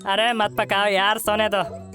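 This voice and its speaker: A woman's voice: medium pitch, flat delivery, measured pace, lightly acted. A woman voicing a stubborn, sleepy little cartoon boy who whines and exclaims defiantly in a comic dub. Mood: sleepy, annoyed. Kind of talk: comic cartoon dubbing in Hindi. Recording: music bed under the voice.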